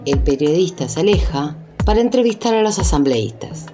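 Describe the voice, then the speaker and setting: A woman performing as a measured storyteller, narrating a radio drama from a script. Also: on the low side, expressive, lightly acted. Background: music.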